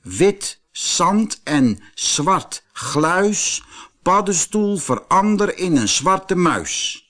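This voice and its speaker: Man playing a high-voiced little boy wizard. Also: very animated, extremely slow, fairly bright tone, long reverb tail, full character voice. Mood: chanting. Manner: hesitant, earnest. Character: young boy apprentice wizard, hesitant but eager. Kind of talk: storybook character voice.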